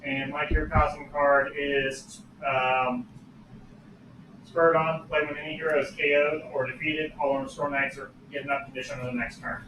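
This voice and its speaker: A man's voice: on the high side, flat delivery, normal speed, recorded from a distance. A man playing a tabletop game, talking in a dry way and reading card text aloud. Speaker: player. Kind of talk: casual tabletop game chat. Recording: echoey room, off-mic, noisy.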